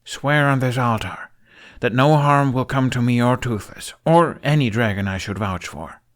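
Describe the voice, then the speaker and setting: A man voicing an earnest, irritable young Viking in an audiobook, speaking in a halting, insistent, exasperated way. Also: mid-range voice, a bit bright, pitched above the performer's own voice, lightly acted. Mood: wary, firm. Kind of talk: audiobook character acting.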